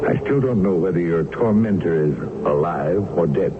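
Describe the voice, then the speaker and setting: An actor playing a composed, brilliant Victorian doctor in a radio mystery, speaking in formal, deliberate phrases. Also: male voice, deep voice, close to an everyday voice. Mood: measured, probing. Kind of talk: old-time radio mystery drama acting and narration. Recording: muffled radio recording with strong noise.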